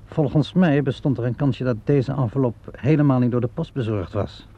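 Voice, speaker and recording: Gravelly, dryly courteous police inspector played by a man. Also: mid-range voice, mellow, lightly acted. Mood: probing. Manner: measured, polite questioning with hesitant interjections. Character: calm, courteous, dryly wry male Scotland Yard police inspector. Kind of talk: vintage Dutch radio play acting. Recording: dull lo-fi vintage radio recording.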